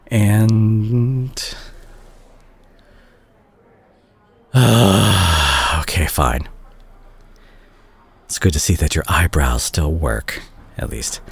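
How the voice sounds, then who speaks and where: A man playing a remorseful, tender doctor ex-boyfriend in an intimate audio roleplay, speaking softly and hesitantly to the listener. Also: sits low, breathy edge, heavily acted. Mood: awkward, evasive. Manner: hesitant, gentle, self-conscious, sighing. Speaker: audio drama voice actor.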